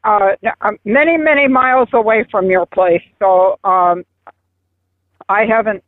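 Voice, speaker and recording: A woman in her eighties on a phone call, protesting in a flustered, halting way. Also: medium pitch, dry recording. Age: 80s. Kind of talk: unscripted phone conversation. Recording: tinny phone line.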